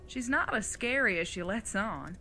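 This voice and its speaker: Woman playing bright-voiced, wry old outlaw mother. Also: mid-range voice, lively intonation, normal speed, soft background music. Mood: reassuring, frank. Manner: folksy, plain-spoken, teasing, rural idiom. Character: retired outlaw mother, older, wry, folksy and affectionate. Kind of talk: audio drama acting.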